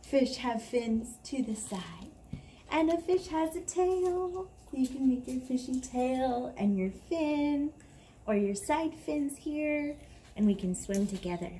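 A young woman speaking to a small child in a gentle, drawn-out way, as in a story time for toddlers. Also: high voice, expressive. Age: young adult. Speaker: parent reading to a toddler. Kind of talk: singing and story reading for toddlers. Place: covered backyard patio, outdoors. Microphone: camera at about arm's length. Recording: clear background noise outdoors.

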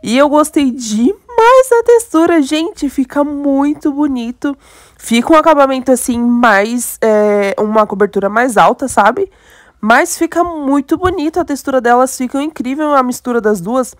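A woman's voice: high-pitched, lively intonation, measured pace, no room sound, studio quality. A young Brazilian woman with a stuffy, nasal voice from a cold, chatting enthusiastically to viewers during a makeup tutorial. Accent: Brazilian Portuguese. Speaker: beauty vlogger. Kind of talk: casual makeup tutorial chat.

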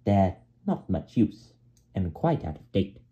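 Stuck-up storybook helicopter, male, played by a man, unhurried, dismissive and boastful, mellow tone. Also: sits low, big pitch swings, muffled recording, exaggerated voice. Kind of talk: children's storybook character voice.

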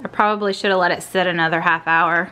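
A woman's voice: fairly low pitch, steady tone, fast, pushed and bright, a little hiss or hum. A woman in her thirties chatting through a cook-along in her home kitchen, often calling the viewers y'all. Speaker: cooking vlogger. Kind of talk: casual cook-along narration.

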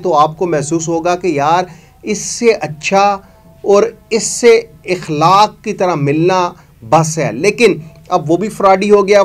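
A man, hosting a news show and giving emphatic, accusatory commentary to camera in a studio. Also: high voice. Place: studio with green screen.

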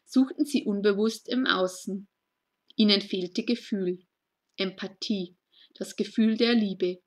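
A woman recites poetry at a medium pitch. She speaks in short, deliberate phrases and leaves space between them. Her delivery is earnest and reflective throughout. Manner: slow, deliberate phrasing with pauses. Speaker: poet reading own poem. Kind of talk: spoken poetry reading.

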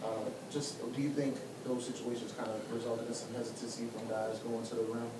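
A man's voice: mid-range voice, little pitch movement, a little fast, very noisy. A male reporter asking long, rambling questions at a press conference.

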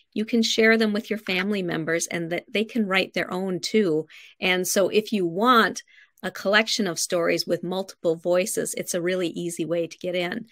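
A woman's voice: on the low side, fairly bright tone, no room sound, clean studio sound. A middle-aged woman speaking as a guest writing coach, casually giving encouraging instruction. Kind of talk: casual interview chat, gentle instruction. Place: living room at home, over a video call. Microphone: video call.

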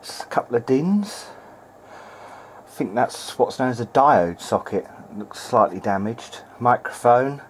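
A man's voice: mid-range voice, animated, unhurried, slight background noise. A man thinking aloud in a hesitant way as he gives casual hands-on repair commentary at an indoor workbench. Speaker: hobbyist repairer presenting a video diary.

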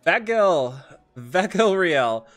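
Higher-pitched excitable young man, casual gaming commentary. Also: extremely slow. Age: young adult. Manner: excitable, repetitive, hyperbolic. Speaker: gaming video host and streamer. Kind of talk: casual game update commentary. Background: music.

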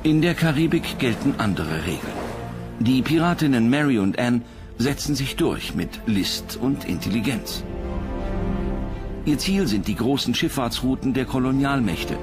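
A man's voice: medium pitch, animated, a little slow, over music. A man reading scripted documentary narration as the narrator.

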